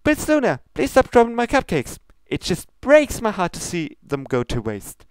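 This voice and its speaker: Squeaky, perky cartoon pony baker. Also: wildly swooping pitch, moderate pace, clean tone, no room sound, low-quality recording. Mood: wounded. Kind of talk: fan fiction comedy reading.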